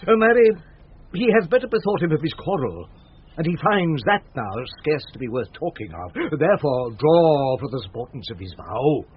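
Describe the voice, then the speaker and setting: An actor playing a boisterous, mischievous older knight with hearty bluster in a comic Shakespearean radio play. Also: male voice, fairly high pitch, sing-song, normal speed. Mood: mock solemn. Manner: hearty, blustering, chuckling, hesitating for effect. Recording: dull 1970s radio recording, faint noise.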